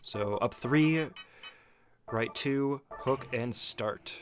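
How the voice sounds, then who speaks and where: A man's voice: medium pitch, drawn-out words, fairly bright tone, faint music behind. A man giving a casual, step-by-step speedrun tutorial as commentary, speaking informally and giving short instructions.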